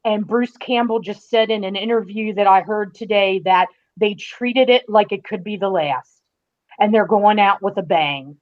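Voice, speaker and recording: A woman's voice: mid-range voice, moderate pace. A woman in a casual podcast discussion, explaining things emphatically as a guest critic and stretching out stressed phrases. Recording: dull, lo-fi call audio.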